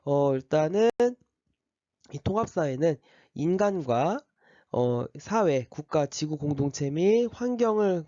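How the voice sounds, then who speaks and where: A male teacher with a slight cold talks a class through the course outline, stopping often for fillers and restarts. His pitch sits a little high and moves around a lot, and the tone is mellow. The recording is dry and a bit dull. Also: no echo. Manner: explains step by step, with many fillers and restarts. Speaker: high school history teacher. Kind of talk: recorded class orientation lecture.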